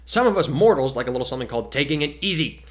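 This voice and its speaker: A man's voice: medium pitch, expressive, rapid-fire, lightly acted. A man voicing a laid-back, sarcastic teenage boy hero student in a dramatic story reading, with a wry, grumbling manner. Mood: exasperated. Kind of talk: dramatic reading of fan fiction.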